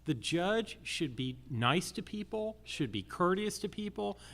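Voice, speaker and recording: An American man speaking as a judicial candidate at a public forum, deliberate and using emphatic repetition. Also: sits high, very animated, fairly bright tone.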